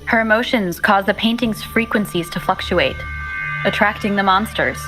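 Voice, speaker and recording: A woman voicing a calm, analytical companion. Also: medium pitch, normal speed, over music, close to an everyday voice, low-quality recording. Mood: puzzled. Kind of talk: video game voice acting.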